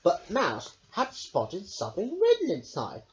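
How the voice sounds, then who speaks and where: Guy reading a kids storybook slow and sing-songy, muffled lo-fi recording. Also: sits high, wildly swooping pitch, background noise. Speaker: storybook reader. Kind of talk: reading a picture book aloud. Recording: dull lo-fi recording.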